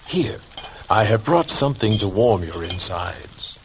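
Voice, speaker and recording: An actor playing a solemn, courteous old scholar in a radio drama, speaking in formal, measured phrases. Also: male voice, lowish voice, big pitch swings, slight background noise. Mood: genial, chiding. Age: older. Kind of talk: vintage-style radio drama acting. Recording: dull lo-fi radio drama recording. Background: music.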